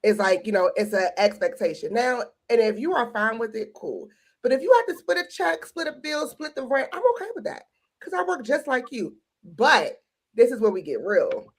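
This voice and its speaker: A woman's voice: on the high side, lively intonation, normal speed. A woman co-hosting a podcast, talking candidly in a casual chat and acting out quoted remarks. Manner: candid, storytelling with quoted asides. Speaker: podcast co-host.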